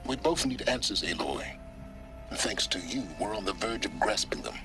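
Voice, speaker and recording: An actor playing a self-serving, secretive guide in a video game, with a curt, superior, deliberate manner. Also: male voice, lowish voice, sing-song, music in the background. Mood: smug, measured. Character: secretive, arrogant, self-serving older male strategist and guide. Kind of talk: dramatic video game acting.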